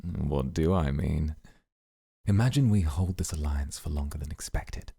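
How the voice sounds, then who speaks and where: A man playing a suave, calculating mafia boss in a flirty ASMR roleplay, with teasing, deliberate phrasing. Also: dark tone, no room sound, clean studio sound, exaggerated voice. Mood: intrigued, coy. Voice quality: very deep, gravelly, husky.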